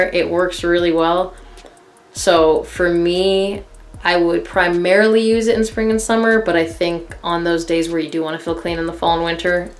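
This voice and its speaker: A woman in her thirties casually reviewing a fragrance to camera from her living room, candid and chatty with many fillers. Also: on the low side. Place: living room in front of a couch, indoors.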